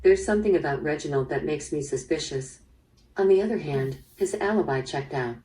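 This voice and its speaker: Low-voiced, probing female police detective. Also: lively intonation, warm tone. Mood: probing, measured. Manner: measured, probing, businesslike. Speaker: voice actor in interactive audio drama. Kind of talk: interactive radio-drama acting. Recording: played through speaker, slightly distant.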